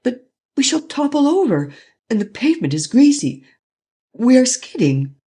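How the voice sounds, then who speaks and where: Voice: mid-range voice, sing-song, moderate pace, warm tone, breathy edge. A reader voicing a frightened young male chauffeur, pleading frantically in an audiobook. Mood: panicky. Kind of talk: audiobook reading.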